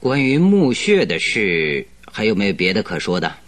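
An actor in a radio drama playing a composed, dryly amused male detective who questions in a measured, concise way. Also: on the low side, wildly swooping pitch. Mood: cool, inquisitive.

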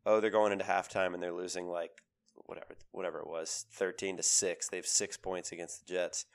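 Man, 30s, podcast co-host with a cold; deep, resonant, emphatic sports banter. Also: brisk, vocal fry. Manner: animated, emphatic with repeated phrases. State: has a cold, voice lower than usual. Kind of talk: casual sports chat.

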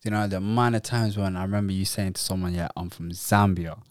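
A man with a very low, smooth voice talks in a loose, casual chat, circling back on his sentences with lots of "you know" fillers. The sound is dry and close, with no room echo. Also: steady tone, fast talker, a little mellow. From Netherlands. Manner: rambling, lots of fillers and restarts.

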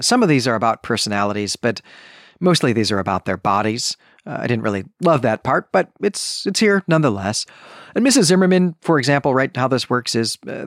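A man hosts a book discussion podcast with a lively, swooping delivery. He talks conversationally and leans on key words for emphasis, often checking in with the listener. The sound is dry, with no room around the voice. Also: mid-range voice, no room sound. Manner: emphatic, checks in with rhetorical "right?". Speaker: podcast host.

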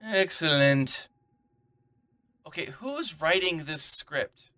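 A man's voice: on the high side, animated, slow, sharp tone, full character voice. A man playing a pompous, fussy storyteller in a comedic radio drama, by turns grandiose and chatty. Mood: deadpan. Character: pompous, fussy bald storyteller, a preening diva.

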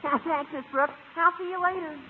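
An actor playing a squeaky, eager and cheeky teenage high-school boy in a 1950s radio comedy, with mock-formal flourishes. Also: steady tone, fairly quick. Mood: chatty, cocky. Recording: tinny old radio recording, faint noise.

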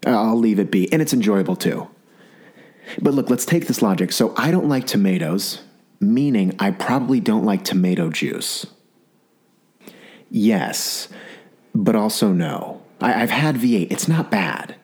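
A man with a very low, husky voice, roughened by a sore throat. He hosts his own podcast as a young adult, spinning a rambling comic monologue. He trips over his words and restarts often, with animated swings up in pitch. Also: a little slow. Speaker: podcast host. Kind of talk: comedic solo monologue.

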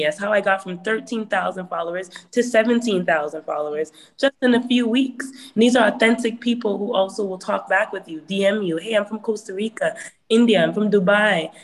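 A woman's voice: medium pitch, low-quality recording. A woman musician giving casual advice about promoting music, chatty and full of fillers like "you know".

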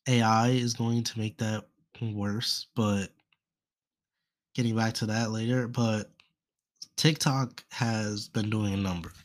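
A young man with a stuffy, congested nose talks through a solo podcast in a loose, rambling way, trailing off into fillers. His voice sits low and barely moves in pitch. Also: fairly even intonation, slow, long pauses. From United States. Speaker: podcast host. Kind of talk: casual solo podcast talk.

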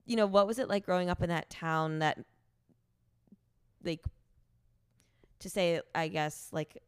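A woman's voice: lowish voice, a little slow, clear, resonant tone, no room sound, studio quality. A woman, a podcast host in her late twenties, chatting informally in an interview and saying "like" often. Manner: chatty, informal, liberal use of "like". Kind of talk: casual in-person interview.

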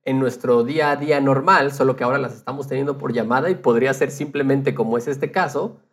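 Mid-pitched man explaining casually on a podcast. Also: fast.

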